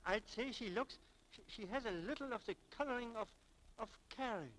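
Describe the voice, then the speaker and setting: An actor playing a kindly, hesitant, stammering coworker and loyal friend in a 1940s radio drama, on a noisy old recording. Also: male voice, very high-pitched, brisk, a bit bright. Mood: hesitant, excited.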